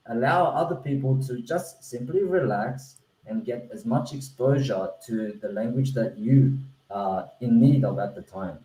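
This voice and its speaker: Mellow-voiced man, roomy echo. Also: mid-range voice. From Australia. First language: Korean. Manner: earnest, with frequent restarts and fillers. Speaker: founder presenting a language-learning platform. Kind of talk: online webinar presentation. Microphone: video call.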